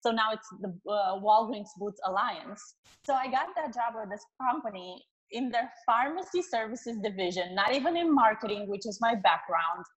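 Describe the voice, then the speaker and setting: A woman from Romania with a Romanian accent, a career coach chatting as an interview guest in a quick, upbeat way. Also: a little high, animated, breathy edge, dull audio. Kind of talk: interview guest, casual chat.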